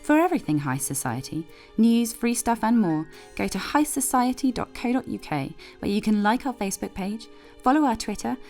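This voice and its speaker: A woman's voice: fairly high pitch, animated, moderate pace, hardly any pauses, a little mellow, soft background music. A woman announcer reading a scripted promotional outro.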